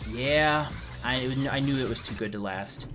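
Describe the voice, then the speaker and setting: A man with a medium-pitched, slightly hoarse voice talks through a video game. His commentary is casual and rambling, with a wry, exasperated edge. Game music plays clearly behind him. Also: a little slow, fairly bright tone. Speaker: let's play host.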